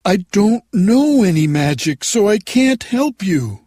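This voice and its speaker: A man's voice: very animated, a little slow, mellow, dry recording, clean studio sound, exaggerated voice. A man voicing a timid little old man who poses as a great wizard in a storybook reading, talking meekly. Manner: booming at first, then meek and apologetic.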